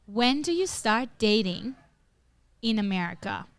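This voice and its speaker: A woman from northern Italy co-hosts a casual podcast. Her voice sits a little high and sounds smooth and clear. She tells stories with animated energy and jumps into high-pitched quotes. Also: a little slow, no room sound. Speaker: podcast co-host. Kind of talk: casual chat.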